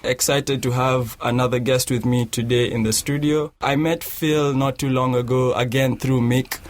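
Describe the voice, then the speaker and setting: A man's voice: medium pitch, steady tone, normal speed. A man hosting a podcast, chatting casually with his guest in a studio. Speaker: podcast host. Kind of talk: casual interview chat.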